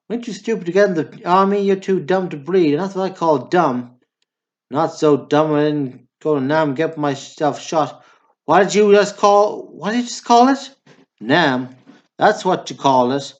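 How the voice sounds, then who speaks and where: A man's voice: a little high, measured pace, highs cut off, close to an everyday voice. A man playing a blunt, bickering small-town Southern brother in a radio drama. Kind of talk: stage comedy dialogue, radio drama acting.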